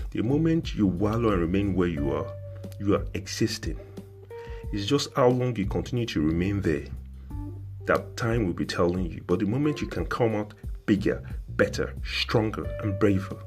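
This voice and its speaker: Deep-voiced man preaching over soft music. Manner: repetitive, preachy rhetorical questions. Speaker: motivational speaker. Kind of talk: motivational monologue.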